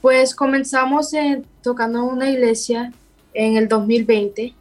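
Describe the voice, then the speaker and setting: Young woman, Spanish speaker, halting and searching for words, slightly high voice, faint music. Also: steady tone. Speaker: singer and bassist of a worship band. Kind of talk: interview guest.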